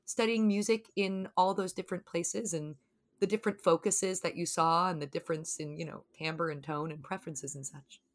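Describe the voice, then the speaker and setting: A woman hosts an interview and asks her questions in a thoughtful, conversational way. She leans on ums and you-knows as she works through her points. Her voice sits a little low for a woman. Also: fairly even intonation, moderate pace. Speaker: interviewer, host.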